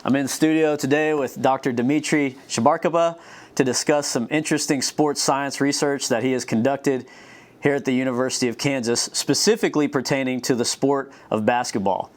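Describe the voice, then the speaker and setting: A man's voice: mid-range voice, fairly even intonation, fairly quick. A man hosting an interview in a studio, reading a scripted introduction and then joking with his guest. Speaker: host, professor. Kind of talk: interview host.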